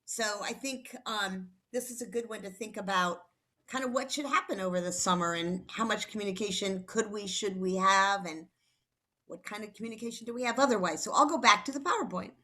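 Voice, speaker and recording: A woman's voice: mid-range voice, brisk, sharp tone. A woman hosting a training session on a video call, upbeat and rambling, a little flustered. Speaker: training host. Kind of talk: casual presentation on a video call.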